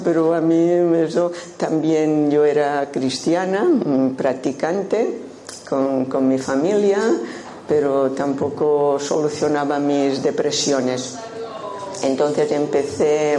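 A woman's voice: sits low, mellow. A woman in her sixties from Menorca, with a Menorcan Spanish accent, gives an informal talk as a Buddhist teacher. She speaks in a thoughtful way with frequent hesitations. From Menorca, Spain. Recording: roomy hall with faint background noise.

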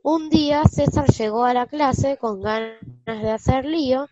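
Friendly schoolgirl storyteller for a school radio play, played by a female, high-pitched, muffled lo-fi home sound. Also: unhurried, warm tone, faint music behind. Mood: friendly, explanatory. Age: child character. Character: friendly schoolgirl Alicia narrating her class story.

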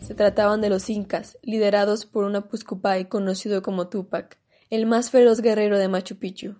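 A woman narrating a scripted historical radio drama in a measured storytelling manner. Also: mid-range voice.

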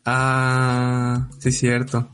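A man's voice: medium pitch, monotone, very slow, fairly bright tone. A man from Yucatán, Mexico, co-hosting in casual banter with a playfully reluctant, hesitant manner. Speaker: show co-host. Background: background music.

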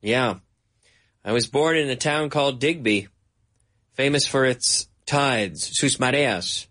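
A Canadian man giving a bilingual English lesson, speaking slowly and repeating words over and over so learners can catch the sounds. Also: mid-range voice, a bit bright. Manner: slow, repeats words for drilling. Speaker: English teacher.

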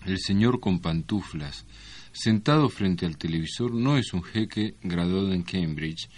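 A man's voice: low-pitched, a little slow, darkish tone. A man with an Argentine accent co-hosting a casual radio show, speaking in a hesitant, tongue-in-cheek way. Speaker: radio host. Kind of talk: casual co-hosted radio talk. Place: radio studio.

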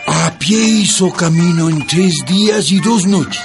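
An actor playing a narrator who recounts a peasant family's hardships in a radio drama. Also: male voice, high voice, unhurried. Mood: reflective. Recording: music bed under the voice.

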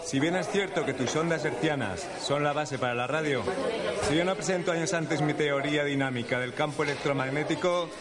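An actor playing a smug, teasing physicist in heaven, in a comic radio play. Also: male voice, fairly high pitch, brisk, close to an everyday voice. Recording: loud crowd behind the voice.

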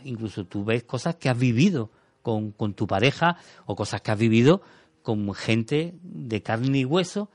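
A man's voice: medium pitch, a little mellow, no echo. A man on a radio show talking about a film in a thoughtful, rambling way, with many restarts. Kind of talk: casual radio chat. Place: radio studio.

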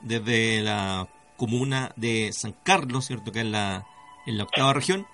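Bright-voiced Chilean radio host, male. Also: fairly low pitch, a little slow, soft background music. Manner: hesitant, often adds "cierto" tags. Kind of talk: conversational radio interview.

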